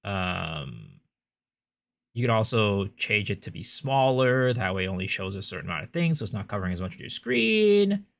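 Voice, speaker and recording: A young man with an American accent talks through his game at a home desk mic, his pitch swinging up and down a lot. He chats loosely, muttering to himself, then jumps into loud, excited thanks for viewers. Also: moderate pace, creaky. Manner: chatty, thanks viewers with big excited bursts. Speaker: livestreamer. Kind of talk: casual gameplay commentary.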